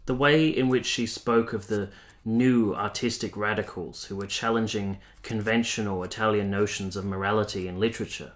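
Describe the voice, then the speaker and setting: A man's voice: on the low side, fairly even intonation, normal speed, natural voice. A man playing a courteous, smitten army captain from the 1870s in a radio drama, speaking politely and formally. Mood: smitten, reflective. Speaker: actor and narrator in a radio play. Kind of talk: radio drama acting, partly first-person narration.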